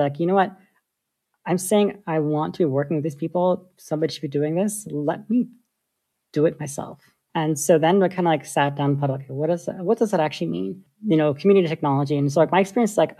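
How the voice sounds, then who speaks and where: Gravelly, husky woman. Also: low-pitched, dark tone. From United States. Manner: thoughtful, many filler likes. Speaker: guest, software engineer. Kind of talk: casual interview talk.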